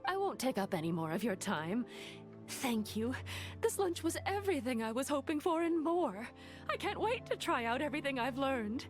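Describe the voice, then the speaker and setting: An actress playing an eager, inquisitive, gossipy female merchant in a video game, with an animated, polite and teasing manner. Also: high-pitched, moderate pace, soft background music. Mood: grateful, admiring.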